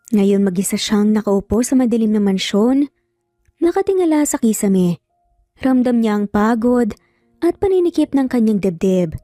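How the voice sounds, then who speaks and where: A woman's voice: a little high, warm tone, studio quality. A woman with a Filipino accent narrating a scripted romance story in a dramatic storytelling style. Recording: roomy echo, faint music behind. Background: faint background music.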